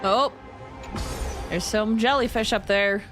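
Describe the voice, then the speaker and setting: A woman's voice: mid-range voice, slow, music in the background. A woman game streamer giving casual, slangy, exclamatory live reactions as she plays.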